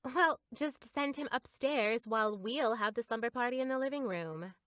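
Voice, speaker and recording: A woman's voice: on the high side, normal speed, a bit bright, no room sound, clean studio sound, close to an everyday voice. A woman voicing a blunt, casual teenage big sister in a cartoon, firm and matter-of-fact.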